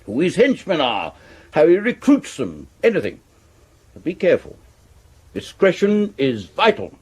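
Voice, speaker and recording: An actor playing a brusque, pompous Victorian police commissioner with an upper-class English accent in a radio drama. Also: male voice, on the high side, huge pitch swings, measured pace, darkish tone, breathy edge, full character voice. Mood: brisk command. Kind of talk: 1970s radio drama acting.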